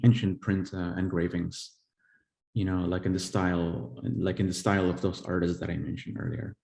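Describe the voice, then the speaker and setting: A man in his forties from El Salvador, an artist giving a live-streamed talk on his work, who speaks haltingly with many pauses and restarts. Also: low-pitched, vocal fry, a little mellow. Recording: echoey room.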